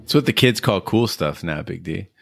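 Man, low voice, dry recording, analytical podcast discussion. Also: lively intonation, normal speed, vocal fry, dry recording. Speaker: podcast host.

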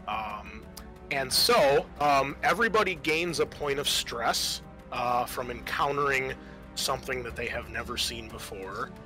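Male game master, casual and sweary, forceful bright tone, music underneath. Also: medium pitch. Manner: casual, profane, explanatory. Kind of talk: improvised narration of a tabletop horror roleplaying game.

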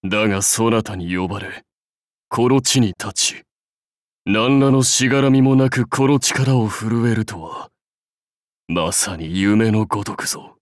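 An actor playing a proud, battle-hungry samurai warlord in a game, with grand, archaic, declamatory speech. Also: male voice, fairly low pitch, a little slow, dry recording, clean studio sound, lightly acted. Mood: solemn pride. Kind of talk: anime game voice lines.

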